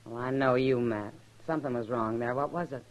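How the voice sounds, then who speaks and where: A woman's voice: very deep voice, steady tone, fairly quick. An American actress playing a worldly, weary frontier saloon woman in a radio western, wry and plain-spoken. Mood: probing. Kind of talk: old-time radio western acting. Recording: muffled noisy vintage radio, saloon crowd.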